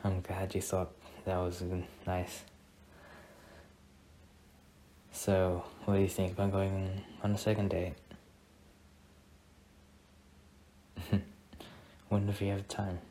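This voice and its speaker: Casting: a man as protective, popular young boyfriend for ASMR roleplay; very deep, soft-spoken, intimate delivery; noisy ambient recording. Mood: affectionate.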